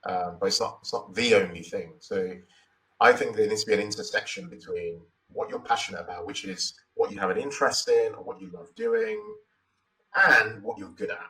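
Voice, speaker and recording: A man's voice: on the low side, expressive, moderate pace, vocal fry, pushed and bright, recorded from a distance, low-quality recording. A Nigerian man in his forties, telling his story as an interview guest in a thoughtful, reflective way with many "you know" fillers. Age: 40s.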